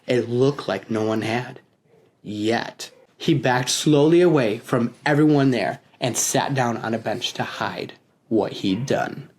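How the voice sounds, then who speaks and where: A man hosting and narrating a children's story in an expressive, animated read-aloud style, addressing listeners directly. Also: medium pitch, slow pace. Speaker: storytelling podcast host and narrator.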